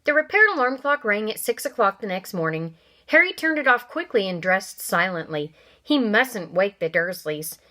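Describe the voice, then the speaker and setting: A woman's voice: mid-range voice, moderate pace. Woman reading a children's book aloud. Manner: asides to young listeners; animated, voices the characters. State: coughing from allergies. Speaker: reader.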